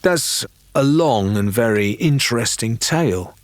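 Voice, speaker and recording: A man's voice: mid-range voice, expressive, unhurried, pitched above the performer's own voice, natural voice. A man playing a bold, cheeky young female pirate in an audiobook, speaking in a wheedling, mock-polite way. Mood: sly, persuasive. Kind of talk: audiobook character voice.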